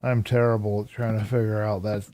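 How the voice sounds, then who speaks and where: A hoarse man chatting casually with viewers on a live stream while playing a game, answering chat aloud in a relaxed, reflective way. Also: on the low side, brisk, slight background noise.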